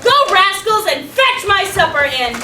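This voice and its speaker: A woman playing a bossy, blustering male lord on an amateur stage, shouting at servants and laughing between lines. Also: extremely high pitch, big pitch swings, normal speed, very noisy. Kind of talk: amateur stage acting of verse. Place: stage before a live audience. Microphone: distant room mic. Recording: echoey, distant hall recording with loud crowd.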